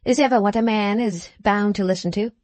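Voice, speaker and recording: Sulky young moneyman, played by a female narrator: flat, grudging, dry. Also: mid-range voice, fairly quick, no echo, natural voice.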